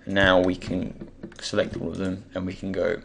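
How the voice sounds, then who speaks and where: A young man with a croaky voice, just woken up, thinking aloud with many pauses as he talks through a software tutorial. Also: low-pitched, completely flat delivery, slight background noise. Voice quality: croaky, gravelly. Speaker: tutorial creator. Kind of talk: screen-recorded software tutorial.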